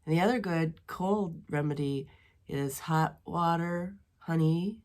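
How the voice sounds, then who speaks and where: A middle-aged woman with a notably low voice chats from her dining room. Her head is stuffy from a spring cold, and she rambles along with plenty of ums and restarts. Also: slow, darkish tone. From western Wisconsin. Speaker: knitting podcast host. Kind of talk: casual chat.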